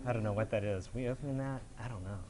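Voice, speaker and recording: A man's voice: fairly low pitch, rapid-fire, a crowd in the background. A man preaching as a pastor in an informal, conversational sermon style, talking colloquially and often checking in with his listeners. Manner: casual, colloquial, checks in with "right?".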